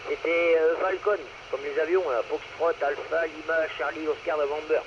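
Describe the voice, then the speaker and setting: A man's voice: a little high, fairly even intonation, moderate pace. Muffled man on noisy two-way radio. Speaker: amateur radio operator. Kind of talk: casual radio contact chat. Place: radio transmission with noise behind. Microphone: two-way radio microphone. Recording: muffled two-way radio, clear static noise.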